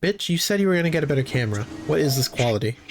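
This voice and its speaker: A man's voice: a little high, sing-song, very fast, noisy background. A man giving casual, wry, sweary commentary and reactions on a horror series. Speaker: video essayist and reactor. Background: background music.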